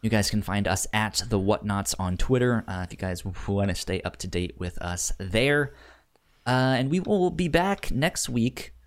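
A man co-hosting a casual review podcast, with a stutter that repeats sounds and a halting, easygoing delivery. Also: fairly low pitch, expressive. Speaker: podcast co-host.

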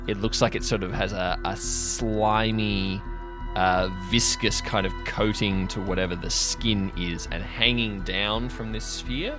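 A man with a lowish voice narrates a tabletop roleplay scene as game master. He builds descriptions on the fly, pausing to hunt for words, with soft music underneath.

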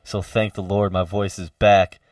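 Brief: young male sports radio host, energetic and loud, full of fillers, very dry studio sound. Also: sits low, unhurried, no room sound. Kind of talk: casual college radio sports talk.

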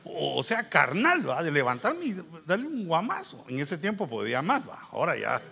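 A man's voice: a little high, huge pitch swings, normal speed, creaky, pushed and bright. A middle-aged man with a Guatemalan accent preaching as a pastor in an informal, colloquial storytelling style, acting out bits of dialogue. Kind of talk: conversational sermon with anecdotes.

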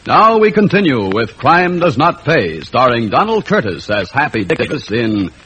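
A man's voice: mid-range voice, very animated, moderate pace, sharp tone, a little hiss or hum. A man narrating a crime drama as announcer in a formal, dramatic 1940s radio style. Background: music.

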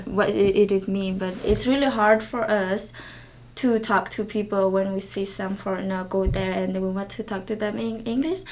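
A young woman from Thailand, a native Karen speaker, answering interview questions in halting English, often pausing to search for words. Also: medium pitch, steady tone, slight background noise. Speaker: interview guest.

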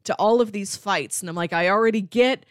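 A woman's voice: mid-range voice, fairly quick, clear, resonant tone, no room sound, clean studio sound. A woman co-hosting a podcast, chatting casually and informally as she gives her opinions. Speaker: podcast co-host. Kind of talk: casual chat, informal review.

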